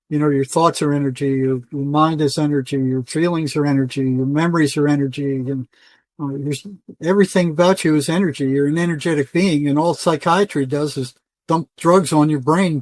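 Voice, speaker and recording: An older man answering as an interview guest in a conversational, halting way, often repeating words and restarting. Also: a little high, a little mellow, no echo. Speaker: interview guest, retired psychotherapist.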